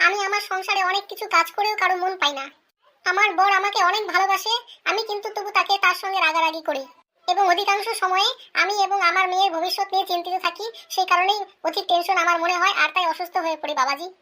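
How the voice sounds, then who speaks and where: A woman's voice: extremely high pitch, steady tone, quick pace, bright, forceful tone. A woman playing a chatty, pleading and scheming village woman in a cartoon comedy.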